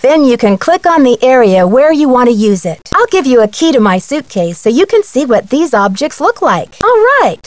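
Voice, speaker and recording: A woman's voice: high-pitched, big pitch swings, normal speed, few pauses, no echo. A woman playing a young, confident amateur detective, giving friendly scripted tutorial instructions in a video game. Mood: chipper, instructive. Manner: friendly, clear instructional delivery.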